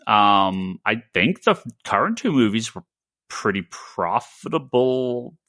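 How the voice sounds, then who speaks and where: A man co-hosting a casual panel discussion, talking haltingly and often stammering over repeated words. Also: lowish voice, big pitch swings, pushed and bright, dry recording. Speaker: podcast co-host.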